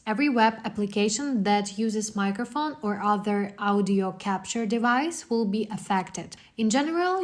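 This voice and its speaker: Woman narrating step-by-step instructions. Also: medium pitch. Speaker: software tutorial narrator.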